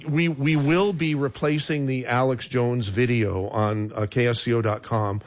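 A man's voice: mid-range voice, fairly even intonation, normal speed, dry recording. Rambling, scratchy-voiced male radio host. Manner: rambling, self-interrupting, chatty. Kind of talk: casual on-air banter. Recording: dull lo-fi radio broadcast, faint music.

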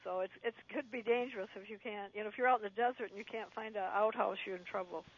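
A woman's voice: medium pitch, steady tone, fast talker, breathy edge, no room sound. A woman in her seventies calling into a radio show, upbeat and talkative, telling anecdotes. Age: 70s. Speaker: radio caller. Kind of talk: casual phone-in chat. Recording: muffled phone line, dry.

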